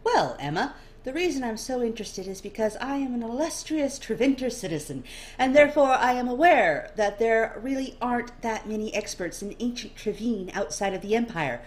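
A woman's voice: on the high side, lively intonation, moderate pace, voice pushed up, natural voice. A woman voicing a teasing, flamboyant nobleman in a story, with a theatrical, prying manner. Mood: intrigued. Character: smirking, flamboyant, teasing nobleman with a dramatic mustache.

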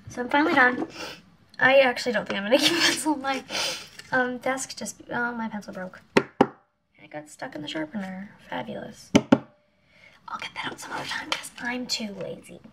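A woman's voice: a little high, expressive, moderate pace, long pauses, heavy background noise. A teenage girl with a stuffy nose, chatting casually and rambling to her webcam in a small bedroom, sometimes dropping to a whisper. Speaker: vlogger. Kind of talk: casual vlog chat. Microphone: webcam on a desk lamp.

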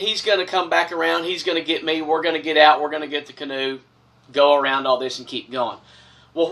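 Animated man telling a story. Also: a little high, recorded from a distance. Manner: animated, gestures broadly with both hands. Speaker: storyteller host. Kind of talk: casual spoken storytelling. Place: small wood-paneled room, seated on couch.

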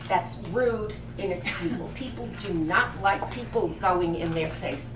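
A woman's voice: mid-range voice, a little slow. An older woman with a Brooklyn accent presenting an image workshop to a small group, emphatic and punchy, firing direct questions at her audience. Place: boutique shop floor, indoors. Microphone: far camera, no visible mic. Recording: roomy, distant camera audio with background noise.

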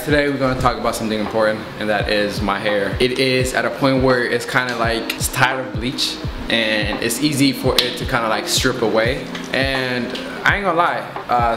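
A young man from Ethiopia talking casually about his hair in a relaxed, rambling vlog. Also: mid-range voice, echoey room. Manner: relaxed, conversational, rambling with fillers. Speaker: vlogger. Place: small indoor room.

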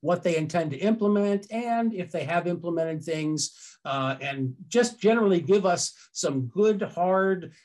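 A man's voice: high voice, a little slow, darkish tone. An older man with an American accent presents an explanatory webinar into a headset, conversational and often rephrasing himself as he goes.

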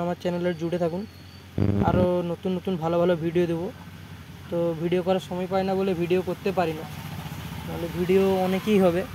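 A man's voice: high-pitched, warm tone. A young man with a West Bengal Bengali accent, talking informally and repetitively in a casual showcase vlog on a handheld phone in a repair shop. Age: young adult. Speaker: electronics repair shop vlogger. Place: cluttered indoor repair shop. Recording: noisy handheld phone recording.

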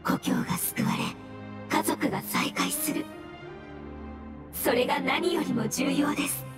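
A gentle floating mushroom spirit for a video game. The voice is high, polite and solemn, softly wistful. It sounds distant and echoey, with music clearly behind it. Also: female voice, unhurried. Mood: serene, consoling.

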